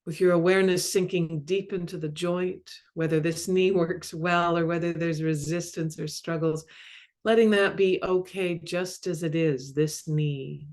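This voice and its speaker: A woman leading a guided meditation as a mindfulness coach, speaking calmly and measuredly in short phrases with long pauses. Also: lowish voice. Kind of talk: guided body scan meditation.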